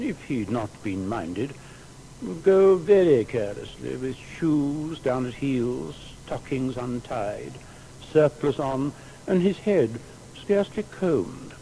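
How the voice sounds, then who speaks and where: Mellow, animated period gentleman. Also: on the high side, a little hiss or hum, heavily acted, low-quality recording. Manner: discursive, archaic, expressive storytelling. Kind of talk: period radio drama monologue.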